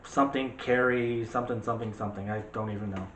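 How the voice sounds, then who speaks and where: A man's voice: medium pitch, steady tone, quick pace, a bit bright. A man co-hosting a movie discussion, chatting casually in a jokey, digressive way. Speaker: co-host of a movie discussion show. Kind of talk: casual chat. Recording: roomy echo, faint background noise.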